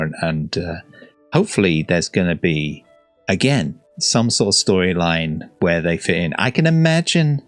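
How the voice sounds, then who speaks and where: A man's voice: deep voice, no room sound. A man hosting a casual reaction video, talking in a rambling, hesitant way as he thinks aloud. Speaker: YouTube reaction host.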